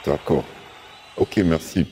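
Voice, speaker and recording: A man's voice: takes it slowly, very noisy. A man interviewing a guest live, asking brief, polite questions. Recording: long reverb.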